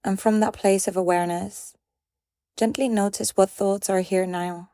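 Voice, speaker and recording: A woman leading a guided meditation in a calm, gentle and measured way, leaving pauses between phrases. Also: medium pitch, no room sound, studio quality.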